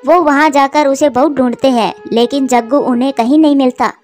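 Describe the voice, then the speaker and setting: A woman's voice: sits high, fairly even intonation, a little fast, a little mellow, soft background music. A woman narrating a scripted cartoon story for children.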